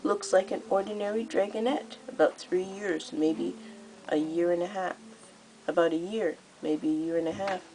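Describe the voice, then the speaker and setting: A teenage girl reading a story aloud as an amateur narrator, expressive but a little halting. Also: low voice, poor audio. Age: teens. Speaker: amateur audiobook reader. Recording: noticeable background noise.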